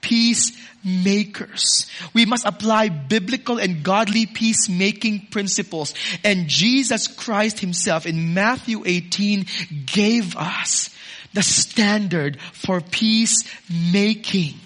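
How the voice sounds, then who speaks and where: A man preaching a sermon as pastor, moving from loud urging to soft, close asides. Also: high voice, slow.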